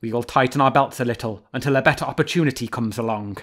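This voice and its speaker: A cunning fox trickster from a storybook, read by a male narrator. He flatters and defers in smooth, courtly phrases at a middling pitch. The voice stays close to the teller's own. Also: unhurried, lightly acted. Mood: fawning, nervous.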